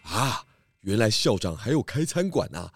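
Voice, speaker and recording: Hungry, animated pig detective. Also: male voice, lowish voice, normal speed, husky, heavily acted. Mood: polite, eager. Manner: hesitant filler sounds, exclamatory. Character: hungry male pig detective, good-natured and polite. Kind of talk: children's audio drama acting.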